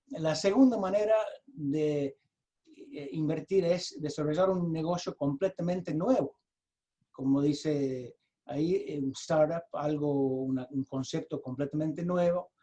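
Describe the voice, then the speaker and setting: An American-accented man, a native English speaker, presents over a video call in a fairly high but mellow voice. He explains step by step as a lawyer would. He is halting, often repeats words and restarts his sentences. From United States.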